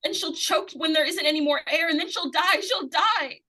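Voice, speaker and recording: A woman acts an insistent young girl with a high, piercing voice. She pleads urgently and repeats herself in desperation, in the style of 1940s radio suspense. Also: moderate pace, pushed and bright. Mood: desperate, distraught.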